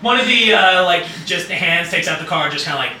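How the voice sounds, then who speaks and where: Bright, animated male game master in an echoey room, off-mic. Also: sits high, a little fast, far from the mic, slight background noise. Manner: animated, loose, swears often, many fillers. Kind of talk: casual tabletop game narration.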